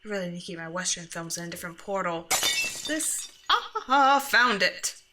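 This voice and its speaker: A woman's voice: on the low side, very animated, normal speed, background noise. A woman playing a grumpy, sarcastic, bossy monster from under the bed in an ASMR roleplay. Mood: grudging, bossy. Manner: exasperated, bossy, mock-threatening. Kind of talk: scripted ASMR roleplay, speaking to the listener.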